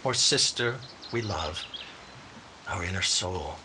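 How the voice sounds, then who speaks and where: A man plays a solemn funeral minister in a radio drama. His florid oratory swings widely in pitch. The recording carries clear background noise. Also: lively intonation, takes it slowly. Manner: grave, florid, declamatory.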